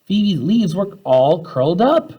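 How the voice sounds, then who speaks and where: Husky man reading expressively to children. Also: high voice, slow pace, muffled recording. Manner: animated, acts out the characters' dialogue. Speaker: teacher reading a children's story aloud. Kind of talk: expressive children's storybook read-aloud.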